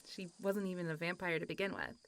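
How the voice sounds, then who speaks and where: A woman's voice: on the low side, fairly even intonation, quick pace, close to an everyday voice. A woman playing a friendly, playful centuries-old zombie girl in a roleplay audio, chatting and teasing as if to one listener. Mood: chatty, amused. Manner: chatty, teasing, frequent giggles and sighs, hesitant asides. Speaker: voice actor.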